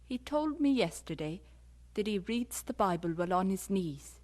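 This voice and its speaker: A woman's voice: medium pitch, moderate pace, natural voice. An actress playing a worried middle-aged mother, puzzled and fretful, in an audio drama. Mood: troubled. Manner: concerned, expressive.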